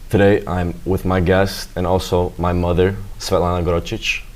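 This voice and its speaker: A man's voice: low-pitched, little pitch movement, normal speed. A teenage boy acting as a student interviewer, asking rambling questions full of fillers. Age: teens. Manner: rambling, many fillers and "you know"s.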